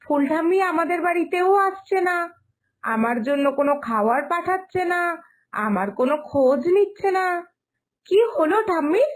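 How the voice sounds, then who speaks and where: A woman playing a selfish, evasive young granddaughter in an animated moral story, whiny, impatient and complaining. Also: very high voice, moderate pace. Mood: puzzled, wanting. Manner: fussy, complaining.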